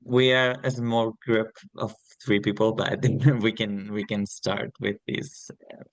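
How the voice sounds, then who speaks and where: A man from Barcelona with a Spanish accent, hosting an online class and introducing a guest. He talks haltingly and stumbles over names. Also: on the low side, level tone, no echo. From Barcelona, Spain. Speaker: course host. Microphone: video call.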